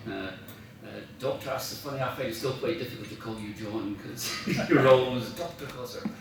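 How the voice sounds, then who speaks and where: A man's voice: medium pitch, moderate pace. A Scottish man of mature years at a club dinner, heard from across a very echoey function room, telling rambling, humorous, self-deprecating stories as the guest speaker. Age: middle-aged or older. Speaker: after-dinner speaker, professional writer. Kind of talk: informal anecdotal speech to an audience. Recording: echoey room, distant mic, faint noise.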